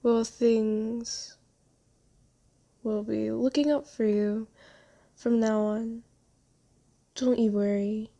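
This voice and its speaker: A woman playing a gentle, genteel vampire in an ASMR roleplay, soft-spoken, comforting and unhurried. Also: a little high, steady tone. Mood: sympathetic. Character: gentle, soothing, genteel vampire who bought a captive. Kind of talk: ASMR roleplay, scripted character acting.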